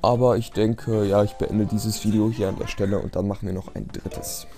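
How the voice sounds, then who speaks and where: Man, low-pitched, soft dark tone, casual let's play commentary, rambling and self-deprecating, faint music behind. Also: level tone, moderate pace. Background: game music.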